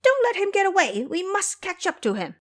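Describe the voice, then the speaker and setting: A woman acts out a brave, protective monkey hero from an audio story. He is brisk and commanding, with a raised, very lively pitch. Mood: fierce shouting. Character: bold, protective male monkey warrior disciple.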